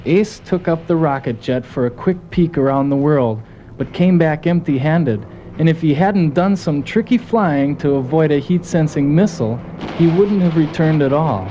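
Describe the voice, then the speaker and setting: A man's voice: fairly high pitch, normal speed, warm tone, dull audio, slight background noise, natural voice. A man playing a folksy, gung-ho soldier who narrates his team's mission in a scripted audio drama. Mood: earnest storytelling. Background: music.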